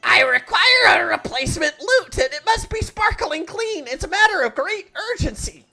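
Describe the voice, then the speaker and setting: A man voicing a pompous, frantic male bard customer with a shouted, sing-song, melodramatic delivery. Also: very high voice, very animated, normal speed, gravelly, soft background music, voice pushed up, exaggerated voice. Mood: urgent.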